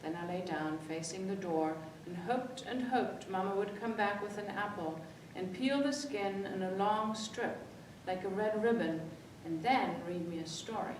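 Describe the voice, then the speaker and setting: A woman's voice: unhurried, few pauses. A woman reading aloud to children as a library story-time reader, expressively acting out the dialogue. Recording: echoey room, distant mic, faint rumbly noise.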